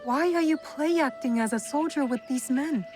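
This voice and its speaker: A woman's voice: high-pitched, normal speed, a little mellow, soft background music, natural voice. An actress playing a determined young female warrior in a video game, blunt but compassionate, with a direct, probing way of speaking. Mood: stern, shaken. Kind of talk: dramatic video game cutscene acting.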